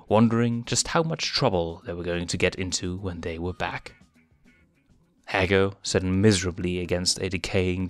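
Low-voiced male fan-fiction reader, steady narration, soft music bed. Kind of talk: reading fan fiction aloud.

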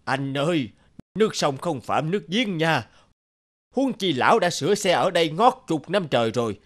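A man's voice: a little high, sing-song, normal speed. A man voicing a modest older bicycle repairman in a cartoon, admonishing in a plain, matter-of-fact way. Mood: reproachful. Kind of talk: cartoon dubbing.

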